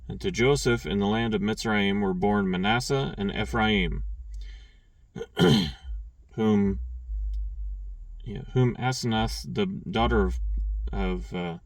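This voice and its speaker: A man reading scripture aloud, stumbling and restarting on names and clearing his throat now and then. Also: lowish voice, slow pace, pauses often, dry recording, far from the mic. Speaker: scripture reader.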